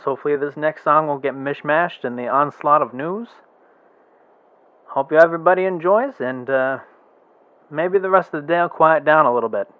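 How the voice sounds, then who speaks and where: Rambly radio dj guy, a man acting it, kinda high voice, tinny audio. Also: lightly acted. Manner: rambling, casual disc-jockey patter. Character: chatty male radio studio announcer. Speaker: radio announcer.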